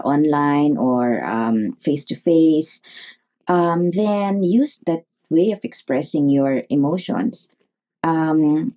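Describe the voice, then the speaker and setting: Low-voiced woman giving measured advice. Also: warm tone. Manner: measured, with many fillers and pauses. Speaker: neurologist guest. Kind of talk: advice in an interview.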